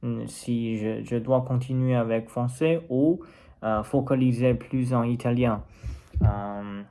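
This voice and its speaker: A man from China, a native Mandarin speaker and language learner, talking unscripted in a personal vlog with a Chinese accent, halting as he searches for words. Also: mid-range voice, flat delivery, dark tone.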